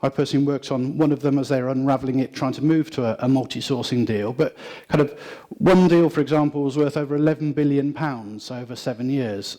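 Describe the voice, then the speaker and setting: Man, fairly high voice, conference talk, conversational with ums. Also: dark tone. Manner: conversational with frequent ums and restarts. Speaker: conference speaker. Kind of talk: business presentation.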